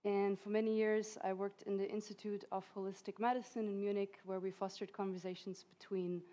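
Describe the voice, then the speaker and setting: Woman, 30s, native Hungarian, mellow dark voice, conference lecture, laughs lightly. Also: mid-range voice, level tone, a little fast. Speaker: conference speaker. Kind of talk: academic conference talk. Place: conference hall.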